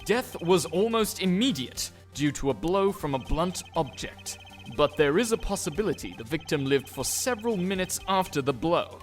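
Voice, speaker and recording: A man playing a haughty prosecutor, lively high pitch. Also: normal speed, close to an everyday voice. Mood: smug, composed. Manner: formal, condescending. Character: smug, haughty male courtroom prosecutor, coolly composed. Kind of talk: video game fandub acting. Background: music.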